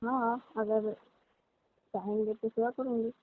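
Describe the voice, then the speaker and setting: High-voiced woman on a tinny phone line. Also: flat delivery, takes it slowly, no echo. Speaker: caller. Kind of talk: casual flirtatious phone chat. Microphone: phone call. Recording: thin narrow-band phone line.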